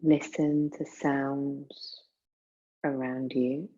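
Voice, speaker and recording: A woman guiding a meditation in a soothing, unhurried manner, leaving long pauses between phrases. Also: rumbling low, little pitch movement, mellow, dull audio. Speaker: yoga nidra meditation guide. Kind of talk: guided meditation.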